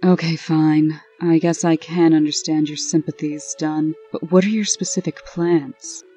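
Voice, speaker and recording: A woman's voice: low-pitched, fairly even intonation, measured pace, dark tone, soft background music. A woman playing a prim, authoritative administrator and exasperated sister, formal and scolding, in a comic dub. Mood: brisk, guarded.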